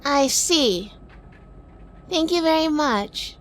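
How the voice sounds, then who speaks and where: A woman playing a sardonic, quick-witted female detective in a hardboiled noir audio drama, narrating and talking with wry humor. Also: high voice, animated, fairly bright tone, heavily acted. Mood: brisk, snappy. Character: sardonic quick-witted 1940s female gumshoe, weary wife.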